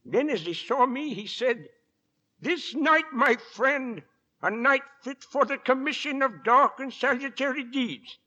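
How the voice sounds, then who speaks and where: Agitated, insistent inquest witness, high and very animated, dull old radio drama sound. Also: male voice, measured pace, heavily acted. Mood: eerie reciting. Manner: earnest, deferential but insistent. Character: excitable, insistent Victorian male witness at an inquest. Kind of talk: 1940s radio acting. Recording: dull vintage radio recording.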